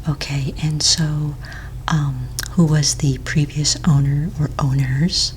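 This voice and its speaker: A very soft, breathy voice, low for a female, belongs to a polite office manager played by a woman in an ASMR roleplay. She takes her time, patiently and gently working through official questions in a near whisper. Typing and clicks sit behind her over a faint bed of noise. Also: fairly even intonation, slow pace. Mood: patient, businesslike. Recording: close mic, faint noise, keyboard clicks. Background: computer keyboard typing and clicks.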